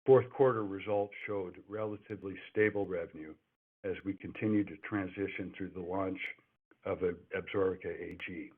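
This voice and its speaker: Low-voiced male executive, scripted earnings remarks with stumbles and restarts, thin phone-line sound. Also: fairly even intonation, normal speed. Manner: reads from a script with frequent restarts and self-corrections. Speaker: company executive. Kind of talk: prepared earnings call remarks. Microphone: telephone. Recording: phone-line conference call audio.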